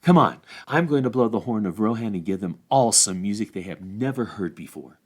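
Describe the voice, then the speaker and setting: An earnest, commanding hobbit adventurer from a fantasy storybook, read aloud by a man. He speaks with urgency and emphasis, his pitch swinging widely as he presses his companions to act. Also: lively intonation, brisk, breathy edge. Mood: urgent, rallying.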